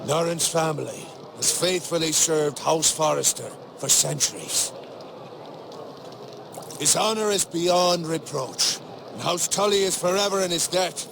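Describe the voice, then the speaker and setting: A man's voice: sits high, vocal fry, background noise. A middle-aged actor playing a proud, fatherly lord in a fantasy video game, with formal, stately speech. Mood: proud, rousing. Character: proud, fatherly medieval lord and army leader. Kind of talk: dramatic fantasy game acting. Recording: music behind the voice.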